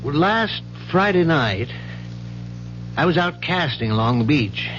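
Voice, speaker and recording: An actor playing a proper, measured university professor in a 1970s radio mystery, speaking precisely and with care. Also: male voice, a little high, very animated, a bit bright. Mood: earnest, concerned. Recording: muffled, noisy 1970s radio recording with hum.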